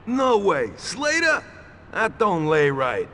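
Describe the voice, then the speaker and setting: An actor playing an excitable male gangster henchman in a video game, exclaiming in drawn-out phrases. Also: sits high, in a large hall, a little hiss or hum, full character voice. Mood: agitated.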